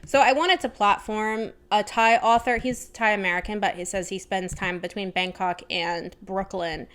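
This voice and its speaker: A woman with a smooth, crisp voice at an average pitch presents book recommendations. She speaks in an informal, chatty vlogger style, thinking aloud in a slightly tentative way as she goes. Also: fairly even intonation, normal speed, a bit bright.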